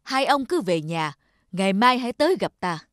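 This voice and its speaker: An actor voicing a stern old-time magistrate in a cartoon folktale, commanding, formal and deliberate. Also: medium pitch, sing-song, a bit bright, no room sound, studio quality. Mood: stern, instructing. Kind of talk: Vietnamese cartoon folktale dubbing.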